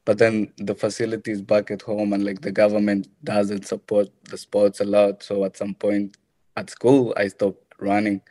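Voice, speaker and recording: A young man from Kenya, a college runner answering interview questions in a soft-spoken, hesitant way. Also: on the low side, completely flat delivery, normal speed, dry recording. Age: young adult.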